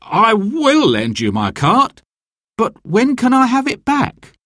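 A man voicing a terrified poor butcher who pleads in a high, strained voice. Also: wildly swooping pitch, takes it slowly, bright, forceful tone, no room sound, clean studio sound, exaggerated voice. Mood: pleading.

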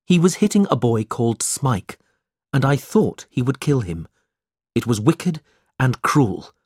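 A man's voice: medium pitch, very animated, unhurried, a little mellow, breathy edge, no room sound, studio quality. A man voicing a brave, protective young man in a storybook, speaking earnestly and with defiance. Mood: indignant.

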